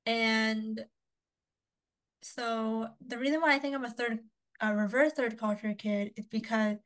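Chatty young woman, higher voice. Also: steady tone, measured pace. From Canada. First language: Cantonese. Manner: chatty, upbeat, lots of fillers. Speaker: podcast co-host. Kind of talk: casual chat.

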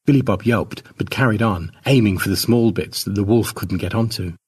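A man narrating a scripted short story in a dramatic storytelling manner, with a husky voice. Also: on the low side, moderate pace, darkish tone. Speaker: author narrating his own short story.

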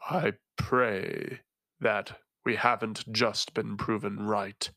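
A resonant, tentacled male illusionist speaks in slow, measured, grave tones, as a male narrator gives him voice. The sound is bright and dry, with no room around it. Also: on the low side, fairly even intonation, gravelly, dry recording, exaggerated voice.

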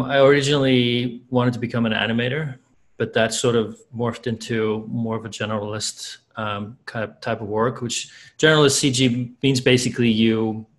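A man from Iceland with an Icelandic accent, speaking English as a second language as an interview guest, measured and pausing often to find his words. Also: medium pitch. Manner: measured, searching for words with many ums. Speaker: guest, visual effects artist. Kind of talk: casual interview.

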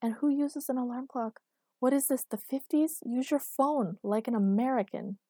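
A woman's voice: mid-range voice, fairly quick, darkish tone, no room sound, studio quality, natural voice. A woman voicing an irritable, sleepy, stubborn young woman with a whiny, grumbling manner in an audiobook. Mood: indignant, stubborn. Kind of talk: acted audiobook dialogue.

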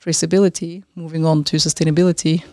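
A woman's voice: low-pitched, flat delivery, quick pace, a little mellow, no room sound, clean studio sound. A young woman from Central Asia, raised in Austria, answering podcast questions as a fashion designer and consultant, talking fluently and earnestly.

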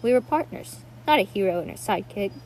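A woman's voice: medium pitch, expressive, quick pace, a little mellow, a little hiss or hum, close to an everyday voice. A woman playing an earnest, pleading young sister in a dramatic monologue reading.

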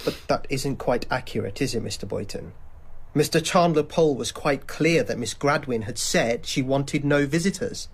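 An actor playing a coolly insistent, sceptical police detective questioning a suspect in a radio drama. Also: male voice, medium pitch, moderate pace, slightly rough, natural voice. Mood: cool, pressing.

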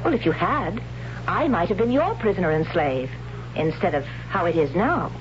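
A woman's voice: lowish voice, expressive, moderate pace, natural voice. A woman playing a blunt young warrior woman who holds a man captive, in a 1970s radio drama; dull old broadcast sound with hiss. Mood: earnest. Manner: blunt and dismissive, then curious. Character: young warrior woman captor, matter-of-fact, pious.

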